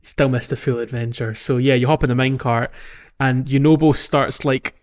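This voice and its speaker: A man's voice: mid-range voice, steady tone, moderate pace. A man with a mid-range voice co-hosts a casual gaming podcast. He talks in a jokey, chuckling way. The recording is dull and slightly lo-fi. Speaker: podcast host.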